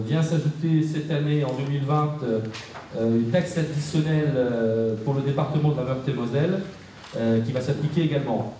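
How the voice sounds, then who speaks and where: A man delivers a formal council report in a mellow voice. He proceeds haltingly, pausing and doubling back on words. The sound is very reverberant and picked up from a distance. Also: medium pitch. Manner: halting, hesitant delivery with frequent pauses and repeated words. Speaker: council vice-president presenting reports. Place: large indoor council meeting room. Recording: echoey council hall, distant mic, faint noise.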